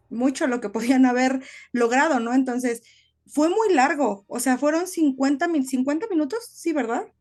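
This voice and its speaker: A woman with a fairly high voice co-hosts a casual podcast discussion. She reasons things through reflectively, often tagging her points with a small question. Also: darkish tone. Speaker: podcast co-host.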